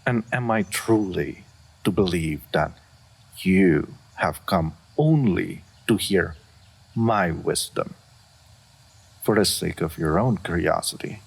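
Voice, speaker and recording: Man playing a very deep, gravelly, aloof regal dragon. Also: heavily acted. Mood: puzzled, reserved. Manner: formal, measured, stoic speech with deliberate pauses. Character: regal, aloof male dragon in human form, wise and guarded. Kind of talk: scripted fantasy audio roleplay.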